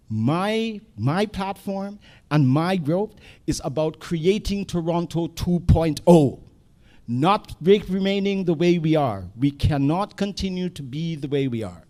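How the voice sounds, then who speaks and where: Man, council candidate, declamatory punchy delivery, lively pitch, higher voice. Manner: declamatory, punchy short phrases. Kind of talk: campaign speech at a public meeting. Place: community hall.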